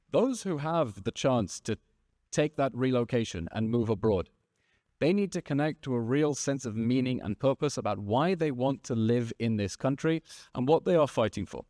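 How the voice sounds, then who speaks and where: A man's voice: mid-range voice, darkish tone, dry recording. Rousing man in his thirties, dry sound. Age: 30s. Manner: rousing, repetitive rhetorical phrasing. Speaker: moderator. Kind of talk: stage speech and panel moderation. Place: conference stage.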